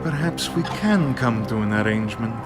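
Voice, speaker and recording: An actor playing a cocky, shrewd bandit chief in an audio drama, with a taunting, theatrical manner. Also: male voice, mid-range voice, animated, normal speed, over loud music, lightly acted. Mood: wary, conceding.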